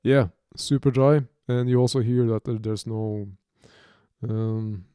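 A man in his thirties from Norway with a Norwegian accent, giving an unscripted music software tutorial from his home studio, talking haltingly with many restarts and fillers. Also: lowish voice, steady tone, smooth, dry recording. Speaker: music producer demonstrating software.

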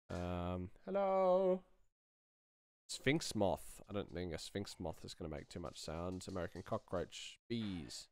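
A man's voice: low-pitched, smooth. An Australian man in his thirties talking casually and offhandedly as he works on a live stream, close to a desk microphone. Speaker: sound designer, live streamer. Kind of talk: casual commentary while working, answering chat. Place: small indoor room.

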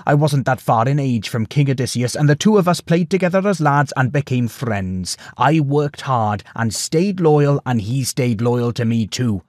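A big gruff swineherd from a storybook, acted by a male narrator, blunt, hearty and fiercely loyal. He speaks with rustic, emphatic phrasing, and the sound is dry and close. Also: medium pitch, a little slow, darkish tone, no room sound, lightly acted. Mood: menacing, stern.

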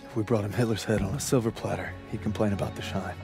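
A cynical, wisecracking American soldier in a war game. He talks fast in a gravelly, lowish voice with music behind. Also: steady tone. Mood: wry. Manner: sardonic. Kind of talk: video game war acting.

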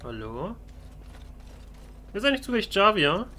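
Bright-voiced man playing in character over game noise. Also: sits high, very animated, normal speed. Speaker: let's play host. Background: video game sounds.

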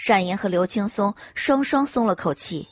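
A woman's voice: mid-range voice, lively intonation, normal speed, no room sound. A woman reading scripted audiobook narration as the storyteller. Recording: music under the narration.

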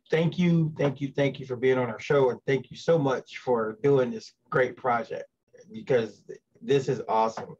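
A man's voice: mid-range voice, darkish tone. A middle-aged man co-hosting a casual interview over a video call, speaking effusively. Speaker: co-host interviewing guests. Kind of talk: casual chat. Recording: dull lo-fi video call audio.

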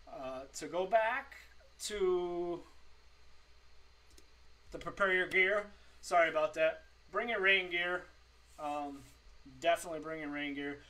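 A man in his forties giving informal tips to camera in a halting, improvised way from a home room. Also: a little high, pushed and bright, recorded from a distance, rough recording. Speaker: fishing tips presenter. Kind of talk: informal tutorial talk to camera. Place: small home streaming room, indoors.

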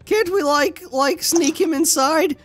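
A man's voice: very high voice, a little slow, faint music behind. A man on a headset reading a visual novel aloud with friends, laughing often and putting on voices for the characters. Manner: animated, laughing, plays voices. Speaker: let's play host narrating a visual novel.

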